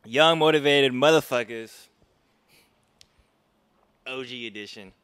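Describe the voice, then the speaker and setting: A man's voice: mid-range voice, measured pace, a bit bright, smooth, no room sound. A man in his twenties hosting a podcast, talking in a casual, slangy, playfully teasing way. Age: 20s. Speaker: podcast host. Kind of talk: casual interview chat.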